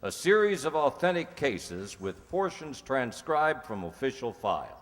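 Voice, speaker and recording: A man playing a solemn 1950s police-drama radio announcer with formal, deliberate delivery. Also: mid-range voice, very animated. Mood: solemn, official. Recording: reverberant hall, noisy live audience recording.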